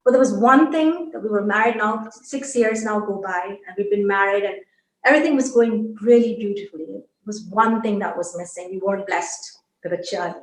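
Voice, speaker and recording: A woman's voice: mid-range voice, normal speed, echoey room, low-quality recording. A woman from Barbados, an older interview guest, earnestly recounting a personal spiritual journey with frequent restarts. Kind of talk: personal testimony in conversation.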